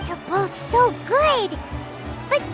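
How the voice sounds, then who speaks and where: A woman voicing a tiny, excitable, childlike fairy companion in a video game, squeaky and dramatic, with game music behind. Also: slow pace, heavily acted. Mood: curious, chatty.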